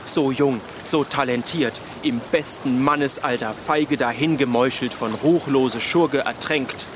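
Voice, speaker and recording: An actor playing a vain, melodramatic young eighteenth-century poet in a radio drama, with pompous, florid, old-fashioned diction. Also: male voice, medium pitch, unhurried, background noise, close to an everyday voice. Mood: dramatic self-pity. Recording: loud outdoor ambience, running water behind voice.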